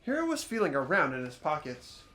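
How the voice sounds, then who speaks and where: Young man, playthrough narrator, very varied intonation, expressive reading, casual commentary, light background music. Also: fairly high pitch, brisk. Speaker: host and narrator of a game playthrough. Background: soft game music.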